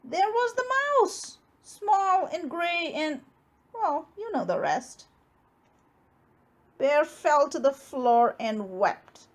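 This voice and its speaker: Female storybook reader: high-pitched, very lively intonation, unhurried, dramatic emphasis for young listeners. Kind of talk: expressive children's read-aloud narration.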